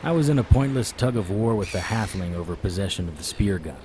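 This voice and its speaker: An actor playing a wry, world-weary occult detective who narrates in noir audio drama style. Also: male voice, lowish voice, expressive, fast, noisy background, close to an everyday voice. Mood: wry, dramatic. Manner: wry, sardonic. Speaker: narrator and lead character.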